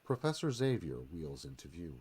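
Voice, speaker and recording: A man's voice: deep voice, big pitch swings, normal speed, darkish tone. A man giving a dramatic scripted narration, reading stage directions as narrator.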